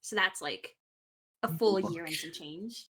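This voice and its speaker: A woman's voice: fairly low pitch, a little slow, a bit bright, husky. A young woman chatting casually and upbeat as a guest on a podcast. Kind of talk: casual chat.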